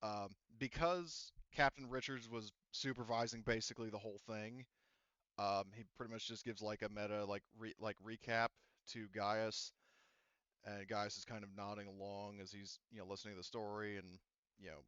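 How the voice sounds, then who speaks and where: Man, low-pitched, clean resonant voice, GM who rambles with lots of ums; dry muffled recording. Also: steady tone, moderate pace, fairly bright tone, clean tone, dry recording. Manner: rambling, many fillers and restarts. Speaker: game master. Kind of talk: narrating a tabletop game.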